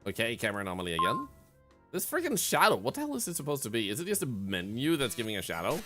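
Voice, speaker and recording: A man's voice: mid-range voice, huge pitch swings, quick pace. Guy streaming a game, mutters counts then rants at the screen, voice swings all over, music going behind. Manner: mutters counts, bursts into loud exasperated rants. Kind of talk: casual gameplay commentary.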